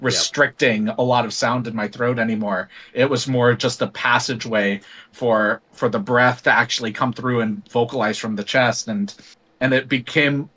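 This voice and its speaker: Man, interview guest, bright tone, reflective, lots of filler pauses. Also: medium pitch, steady tone. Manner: thoughtful, rambling with many ums. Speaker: guest singer. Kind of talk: casual interview conversation.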